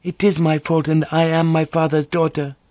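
A woman's voice: low voice, normal speed, no room sound, highs cut off, voice pushed up, lightly acted. A man voicing a proud, wounded noblewoman. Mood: resentful. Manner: calm, controlled, bitter.

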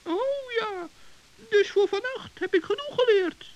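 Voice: lively intonation, a little slow. A performer voicing a small, eager, naive male gnome, childlike and earnest, in a children's radio play. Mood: delighted. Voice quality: squeaky, creaky. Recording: dull vintage radio play recording.

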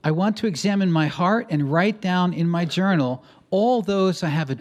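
A man from the New area, speaking in a slightly higher register than most men. He preaches a sermon in short bursts for an interpreter and tells stories in a lively way, acting out the dialogue. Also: moderate pace. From New York area. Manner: lively storytelling, acting out conversations. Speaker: guest preacher.

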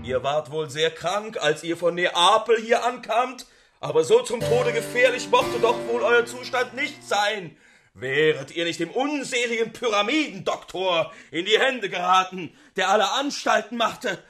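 A man's voice: high-pitched, animated, measured pace, music in the background, pitched above the performer's own voice. A man voicing a devoted young male admirer in a storybook reading, speaking earnestly and reverently. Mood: devoted, relieved.

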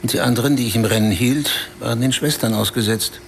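A man's voice: medium pitch, quick pace, gravelly, soft background music, lightly acted. An actor in a radio play voicing a bitter, self-pitying man who recounts a wild night in a brooding, confessional way.

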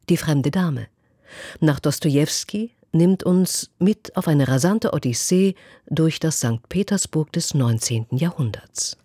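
A female radio announcer delivers a scripted introduction. Her voice is dark and mellow, recorded very dry, with no room around it. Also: low-pitched, no echo.

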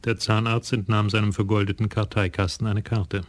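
A man narrating a radio crime drama in an even, measured storytelling manner. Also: fairly low pitch, dry recording.

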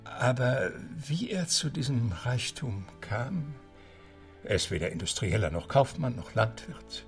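An actor playing a genteel, courteous English club butler who narrates a radio drama in a discursive, theatrical way. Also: male voice, medium pitch, creaky. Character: genteel English gentlemen's club butler recounting a story, male.

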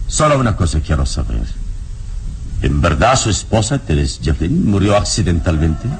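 An actor playing a wise adventurer hero in an old radio serial, measured, solemn and probing. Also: male voice, low voice, big pitch swings, slight background noise. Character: calm, probing heroic adventurer, wise master.

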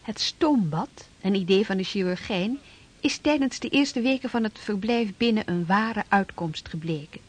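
A woman's voice: medium pitch, normal speed. A woman reading scripted narration for a historical radio drama.

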